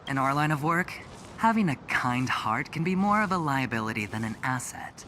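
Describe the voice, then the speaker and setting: An actor playing a smug, smooth-talking young executive with a gambler's temper in a video game, teasing and theatrical. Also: male voice, medium pitch, animated, normal speed, sharp tone, slight background noise. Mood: needling. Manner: teasing, theatrical, self-satisfied.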